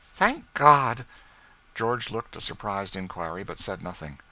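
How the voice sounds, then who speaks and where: Low, bright-voiced male audiobook narrator. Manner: measured, storytelling. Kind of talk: scripted literary narration.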